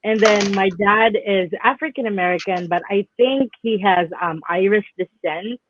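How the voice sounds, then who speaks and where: A woman's voice: mid-range voice, moderate pace, a little hiss or hum. A woman with a Filipino accent speaking as a guest in a casual video call, chatty and mixing English and Tagalog. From Philippines. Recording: tinny dry phone-like call audio.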